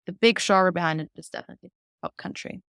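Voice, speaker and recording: A woman's voice: fairly low pitch, fast talker, a bit bright, smooth, dry recording, clean studio sound. A woman, answering interview questions in an earnest, candid way and telling her own story. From Vienna, Austria. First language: German. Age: 20s. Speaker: podcast guest, singer-songwriter.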